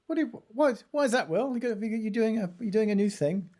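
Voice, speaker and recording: Higher-voiced man in casual chat. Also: expressive, fairly quick, darkish tone. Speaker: podcast co-host. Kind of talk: casual chat, teasing questions. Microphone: remote video call.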